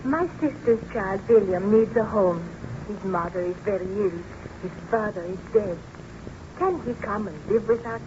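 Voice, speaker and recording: An actress playing a baron's gentle, anxious beloved in old-time radio drama, with formal, solicitous delivery on an old radio recording. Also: female voice, mid-range voice, a little slow, dull audio, noisy background, lightly acted. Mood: gentle, concerned.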